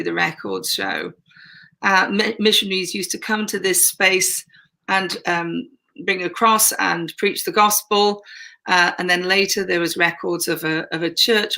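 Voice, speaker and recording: Woman with a gravelly, bright voice. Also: mid-range voice, low-quality recording. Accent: non-Yorkshire. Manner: rambling with many fillers. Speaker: ministry leader and co-host. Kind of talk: casual faith conversation. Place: converted church tower room.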